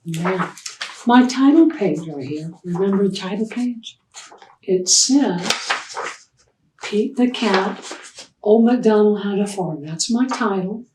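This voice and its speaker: A woman reading aloud and talking to young children as a storytime host, expressive and prompting them with simple questions. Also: medium pitch, background noise. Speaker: children's librarian hosting storytime. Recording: roomy echo, distant microphone.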